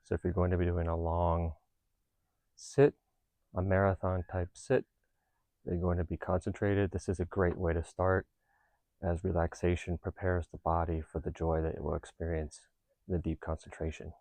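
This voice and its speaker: A man with a deep, soft-edged, mellow voice leads a guided meditation. He speaks calmly and reassuringly, taking his time over each gentle instruction. The sound is dry, with no room around the voice. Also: dry recording. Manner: calm, unhurried, reassuring. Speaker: meditation teacher.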